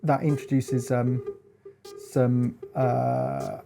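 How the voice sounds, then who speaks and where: A man giving a casual hands-on demonstration as a gear reviewer, explaining in a halting, hesitant way. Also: mid-range voice, flat delivery, gravelly, music in the background.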